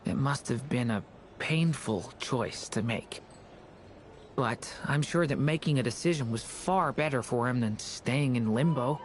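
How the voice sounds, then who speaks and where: A man voicing a gentle, thoughtful companion in a video game, speaking in a reflective, measured way. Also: medium pitch, slight background noise, lightly acted.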